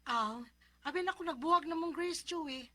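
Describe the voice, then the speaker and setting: A woman's voice: extremely high pitch, moderate pace, pushed and bright, faint music behind. A woman acting a sly, gossiping acquaintance in a radio drama, with a Cebuano Filipino accent. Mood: gossipy. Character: gossiping female acquaintance, slyly tattling.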